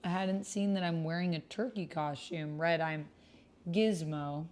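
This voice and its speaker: A woman with a slightly low, soft-edged mellow voice hosts a livestream of a text roleplay game. She talks straight to her viewers in a chatty way and moves between casual remarks and reading game posts aloud. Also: fairly even intonation, unhurried. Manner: chatty, addresses viewers directly. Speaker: streamer hosting a tabletop roleplay game.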